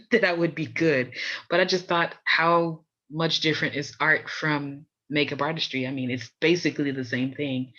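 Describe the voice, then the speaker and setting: A woman's voice: very deep voice, fairly bright tone, highs cut off. An American woman from Miami hosting a podcast, telling personal stories in an introduction. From Miami, USA. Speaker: podcast host.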